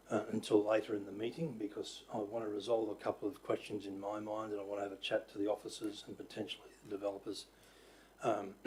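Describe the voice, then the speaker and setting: A man with an Australian accent chairing a council meeting as mayor, his voice croaky, talking in a hesitant, polite way with many fillers. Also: on the low side, a little mellow, low-quality recording. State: croaky voice after illness. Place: council chamber.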